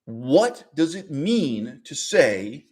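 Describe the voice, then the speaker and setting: A man giving argumentative online commentary in an emphatic, sardonic way, with rhetorical questions and deliberate repetition. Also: a little high, big pitch swings, a little mellow. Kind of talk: argumentative commentary with read-out quotes.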